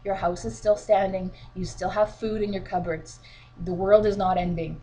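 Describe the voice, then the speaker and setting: A woman talking to her team from home while fighting a cold, her voice a bit sniffly. She speaks in a chatty, encouraging way with plenty of filler words, and her pitch sits right in the middle. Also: steady tone, normal speed, warm tone, rough recording. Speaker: sales team leader. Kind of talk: casual instructional vlog. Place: at home, children beside her.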